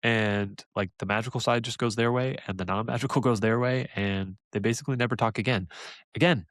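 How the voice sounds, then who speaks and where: A man co-hosting a casual podcast, animated and laughing often, trailing off into fillers. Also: mid-range voice, fairly quick, no echo, studio quality. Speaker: podcast co-host.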